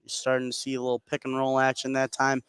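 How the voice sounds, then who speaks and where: A man's voice: mid-range voice, completely flat delivery, quick pace, a bit bright, clean tone, no echo. A man working as color commentator, giving conversational analysis during a live basketball game. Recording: noisy live gym broadcast.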